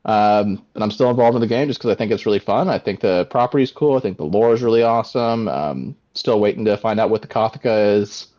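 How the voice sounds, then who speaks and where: Deep-voiced eager man, filler-heavy. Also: brisk, hardly any pauses, dark tone. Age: late 20s. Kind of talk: casual group video call chat. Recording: dull lo-fi call audio.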